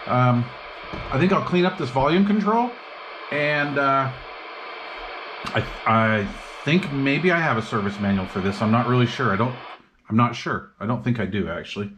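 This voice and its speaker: Male hobbyist host, medium pitch, unscripted and slangy, thinking out loud, clearly noisy recording with radio hiss behind. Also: expressive, normal speed. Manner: rambling, thinking aloud, slangy. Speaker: hobbyist host demonstrating and testing an old CB radio. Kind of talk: casual unscripted bench-test chat. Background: CB radio receiver hiss and chatter.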